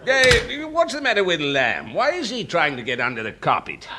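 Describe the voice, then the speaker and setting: An actor playing a pompous, blustering older upper-class English boss in a British radio sitcom, repeating himself grandly. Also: male voice, sits high, wildly swooping pitch, normal speed, very noisy. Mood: indignant.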